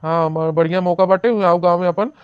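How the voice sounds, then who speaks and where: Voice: medium pitch, fairly even intonation, fast, smooth, no echo, muffled recording, natural voice. An actor playing a lovesick, pleading young village brother-in-law in a comedy cartoon, gushing and wheedling in an Awadhi accent. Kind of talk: cartoon comedy acting in rural dialect.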